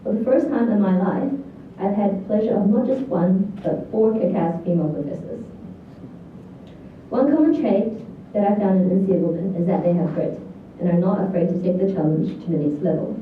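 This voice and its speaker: A woman's voice: medium pitch, a little fast. New Zealand woman speaking in a very echoey hall. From New Zealand, born in China. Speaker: graduating student valedictorian. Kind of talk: prepared graduation speech. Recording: echoey hall, distant, thin lo-fi, faint noise.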